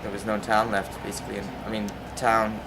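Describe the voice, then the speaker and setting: A man with a fairly low voice gives informal interview answers. He is hesitant and tentative, and loud outdoor traffic noise runs behind him. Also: level tone, brisk. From Japan. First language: English. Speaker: aid worker being interviewed. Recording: very noisy outdoor recording with traffic.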